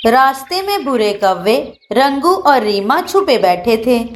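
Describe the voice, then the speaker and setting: Female narrator for children's tales: high voice, unhurried scripted delivery. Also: big pitch swings.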